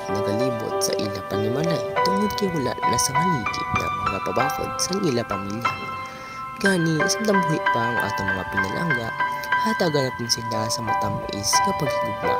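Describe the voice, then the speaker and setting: Man as a solemn, expressive radio drama narrator. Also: on the low side. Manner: solemn, moralizing. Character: earnest radio drama narrator DJ delivering moral lessons. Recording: loud music bed under voice.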